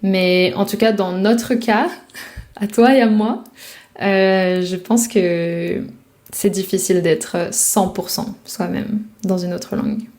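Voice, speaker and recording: A woman's voice: mid-range voice, fairly even intonation, takes it slowly, darkish tone. A woman from France, a language coach, talking to the viewer in a reflective, casual way about life abroad. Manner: reflective, speaks directly to the viewer, casual fillers. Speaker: French speaking coach. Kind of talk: advice talk to camera.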